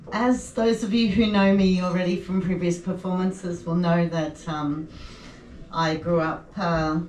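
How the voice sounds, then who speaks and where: Woman, open mic poet, low voice, slow and hesitant, distant mic, echoey venue. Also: darkish tone. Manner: hesitant, many ums and uhs. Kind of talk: introducing a poem. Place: indoor venue at a poetry evening. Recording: echoey room, distant mic, faint noise.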